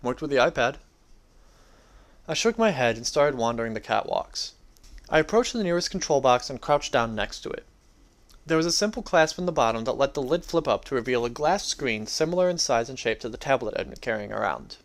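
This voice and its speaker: A man's voice: medium pitch, lively intonation, fairly quick. A young adult man giving a dramatic reading of his own first-person story.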